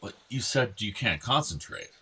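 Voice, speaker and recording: A man's voice: on the low side, lively intonation, normal speed, bright, forceful tone, a little hiss or hum. An actor playing an anxious, educated middle-aged American father in a radio play, earnest and pressing but conciliatory. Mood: concerned, pressing. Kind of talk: naturalistic radio play acting.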